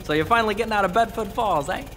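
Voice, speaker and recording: A man's voice: high voice, racing, fairly bright tone, a little hiss or hum. A man playing a friendly, wisecracking, folksy cab driver in an old-time radio drama. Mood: jolly.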